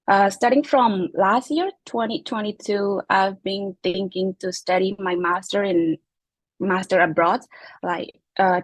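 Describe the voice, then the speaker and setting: A woman's voice: mid-range voice, no echo, rough recording. A young woman from Myanmar, a Chin speaker with a Burmese accent, answering interview questions hesitantly with many fillers. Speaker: international graduate student.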